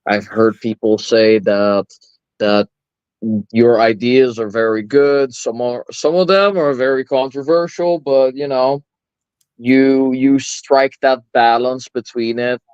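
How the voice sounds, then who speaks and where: A man with a calming voice, chatting casually and thoughtfully as a co-host. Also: mid-range voice, takes it slowly, clear, resonant tone, dry recording. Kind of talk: casual chat. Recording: dull lo-fi audio.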